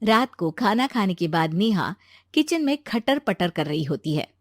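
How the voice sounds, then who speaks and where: A woman's voice: mid-range voice, sing-song, unhurried, no echo, clean studio sound. A woman narrating a scripted moral story as a storyteller.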